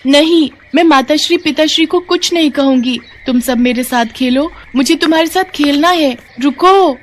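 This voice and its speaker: A gentle, sorrowful storybook princess, still a small girl, acted by a woman. The voice is pitched high, plaintive and pleading. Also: normal speed, a little hiss or hum, natural voice. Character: sad, gentle young princess girl mocked by playmates.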